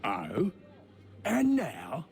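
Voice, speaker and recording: Gravelly, sneering soldier. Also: male voice, medium pitch, wildly swooping pitch, very slow, darkish tone, full character voice. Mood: taunting. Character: belligerent, sneering soldier picking a fight. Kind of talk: video game cutscene acting.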